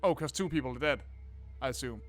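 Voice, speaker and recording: A man hosting a game playthrough, reading narration aloud and commenting in a casual, expressive, sweary way. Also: medium pitch, quick pace. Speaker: gaming video host. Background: faint game music.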